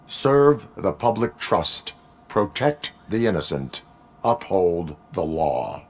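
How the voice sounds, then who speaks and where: A man playing a stoic cyborg police officer in a video game, speaking formally in stiff, deadpan, clipped phrases. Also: low-pitched, normal speed. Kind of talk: video game cutscene acting.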